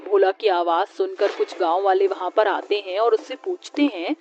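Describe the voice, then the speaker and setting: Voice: medium pitch, moderate pace, darkish tone. A storyteller narrating a scripted horror story in the manner of a tale read aloud. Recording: dull lo-fi audio, faint noise.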